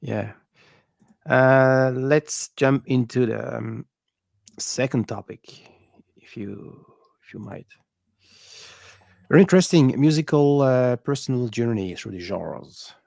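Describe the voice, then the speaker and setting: Gravelly man hosting. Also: medium pitch, a little mellow, no echo, clean studio sound. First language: French. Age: 30s. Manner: halting, non-native phrasing, laughs easily. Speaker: livestream host. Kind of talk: casual chat.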